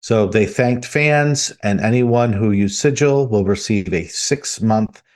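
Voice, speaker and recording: A man with a lowish voice reads the news segment on a gaming podcast. He talks in a steady, measured way and quotes announcements word for word. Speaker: podcast co-host.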